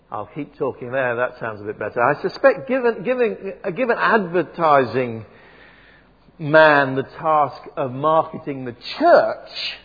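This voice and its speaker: A man's voice: on the high side, sing-song, slow, slightly rough. A man preaching a sermon over a PA microphone in a church, speaking in a wry, conversational way with many ums and restarts. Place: church hall with PA system. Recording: dull lo-fi recording in a reverberant church.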